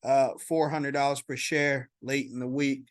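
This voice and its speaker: A man giving informal market commentary as a stock vlogger, explaining in a halting way and checking in as he goes. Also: mid-range voice, little pitch movement, dry recording, clean studio sound.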